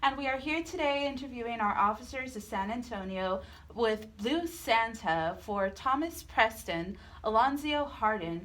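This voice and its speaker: A woman's voice: a little high, a bit bright. An American woman presenting a radio show as co-host.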